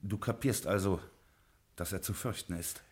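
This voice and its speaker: An actor playing a smooth-talking Prohibition gangster boss in a 1950s radio crime play, persuasive and jovial. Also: male voice, low-pitched, normal speed, lightly acted.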